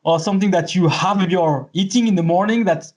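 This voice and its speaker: A man hosting a podcast interview, friendly and halting, with many fillers. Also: high-pitched. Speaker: podcast host.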